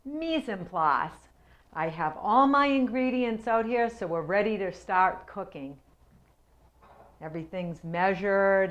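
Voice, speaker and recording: A woman's voice: fairly low pitch, a bit bright. A woman hosting a cooking show from her kitchen, chatty and homey as she explains each step. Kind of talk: home cooking demonstration. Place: home kitchen.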